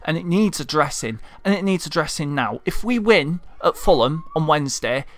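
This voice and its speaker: A man's voice: a little high, expressive, moderate pace. A man from Leeds with a Leeds accent, delivering a frustrated, heartfelt vlog rant as a football fan. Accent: Leeds Yorkshire. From Leeds, England. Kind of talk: impassioned monologue rant.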